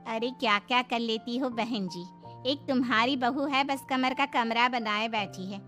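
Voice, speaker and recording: A woman's voice: fairly high pitch, a little slow, fairly bright tone, faint music behind. A woman voicing a gossipy female guest, nosy and needling, in a cartoon story. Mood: disapproving. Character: gossipy, sharp-tongued female prayer-gathering guest.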